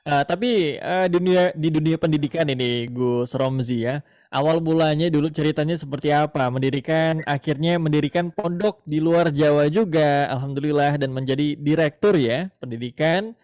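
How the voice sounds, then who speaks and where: A man hosts a radio interview in a fairly high-pitched voice. He builds his questions loosely and adds frequent verbal nods. The sound is dry and close, with no room around it. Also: moderate pace, a little mellow, no room sound. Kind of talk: interviewing a guest on air.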